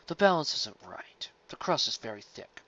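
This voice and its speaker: A fussy, scholarly pony critic, acted out by a man for a storybook. He speaks softly and gravely, weighing each remark as a serious matter. The recording is somewhat dull. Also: medium pitch, sing-song, moderate pace, no room sound, in a whisper. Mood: grave, critical.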